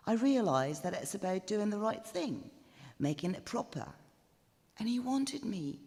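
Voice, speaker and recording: A woman's voice: fairly low pitch, dark tone. A woman voicing a frank, smitten young bride-to-be confiding in her diary, in a live story reading. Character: young bride-to-be, frank, sweary, defiant yet smitten diarist. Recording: live in echoey stone chapel, long reverb.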